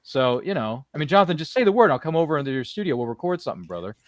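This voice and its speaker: Man, podcast host, quick pace, dry close sound, chummy, rambling, jokey. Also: fairly high pitch, very animated, dry recording. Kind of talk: casual livestream chat.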